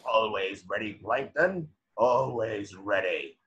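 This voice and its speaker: A man voicing a gruff, greedy old crab boss in a cartoon table reading, raspy, blustery and boastful. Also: lowish voice, lively intonation, slow pace, fairly bright tone, lo-fi sound, full character voice.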